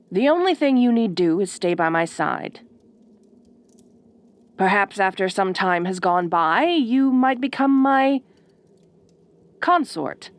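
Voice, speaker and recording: A woman's voice: medium pitch, no room sound. A woman playing a bossy, haughty ice queen in an audio roleplay, speaking in imperious, formal, condescending phrases. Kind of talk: audio roleplay acting addressed to the listener.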